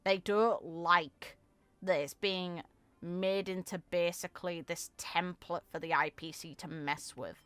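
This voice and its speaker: A woman's voice: on the low side, steady tone, measured pace, a bit bright, smooth, no echo. A woman giving casual reaction commentary on a game, musing aloud with short emphatic asides. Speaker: content creator reacting to game voicelines.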